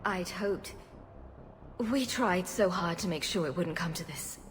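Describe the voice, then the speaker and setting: A woman's voice: on the low side, unhurried, lightly acted. Woman acting as caring refugee leader, anxious, earnest, regretful, game drama, reverberant hall, faint noise.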